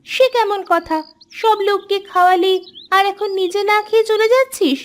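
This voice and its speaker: A woman's voice: extremely high pitch, steady tone, moderate pace. A woman voicing a friendly, insistent village girl in a cartoon, a caring friend who chides and reassures. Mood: chiding, insistent. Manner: chiding, reassuring. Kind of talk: cartoon character dialogue.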